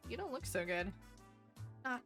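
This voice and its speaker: A woman's voice: on the high side, big pitch swings, normal speed, fairly bright tone, faint music behind. A woman voicing a brash, hungover woman producer in a visual novel, groggy, sarcastic and sweary. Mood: groggy, pained.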